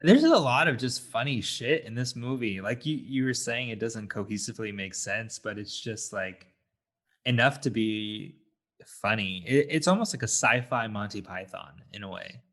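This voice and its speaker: A man co-hosting a casual film review podcast, talking haltingly as he searches for words. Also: lowish voice, expressive, fairly bright tone. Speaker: podcast co-host.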